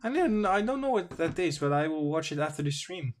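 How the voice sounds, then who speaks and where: A young man hosts a casual livestream in English, which is not his first language. His voice sits a little high, and his delivery is halting, with restarts and slow, careful reading. He speaks into a desk microphone at his computer. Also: sing-song. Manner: halting, self-correcting, reads slowly and stumbles. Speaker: livestream host. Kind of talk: casual livestream chat, reading articles aloud.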